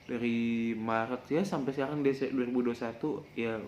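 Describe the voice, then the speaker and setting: A young man with a mid-pitched voice talks casually to his podcast listeners. He rambles and corrects himself as he shares personal tips. The room is echoey, with a faint layer of noise behind him. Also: fairly even intonation, moderate pace, a little mellow, lo-fi sound. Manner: rambling, self-correcting, confessional, addresses listeners directly. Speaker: podcast host.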